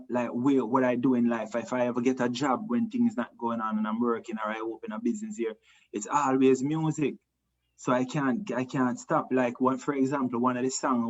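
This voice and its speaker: A man from Jamaica with a Jamaican accent, a reggae singer answering interview questions in a relaxed, rambling way, full of restarts and "you know". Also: medium pitch, muffled recording. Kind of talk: casual interview talk.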